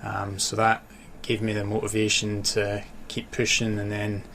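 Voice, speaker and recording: Low, even-voiced Scottish man. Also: normal speed. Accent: Scottish, mix of Dundee, Glasgow and Edinburgh. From Dundee, Scotland. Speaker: interview guest, author and sports journalist. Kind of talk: casual radio interview chat. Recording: clear background noise.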